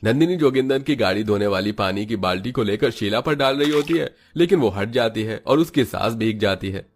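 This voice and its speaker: A man's voice: fairly low pitch, expressive, fairly quick, slight background noise. A man working as a storyteller, delivering scripted story narration.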